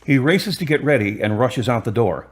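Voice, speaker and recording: A woman's voice: rumbling low, animated, normal speed, slightly rough. A woman narrating a radio drama in a scripted storytelling style.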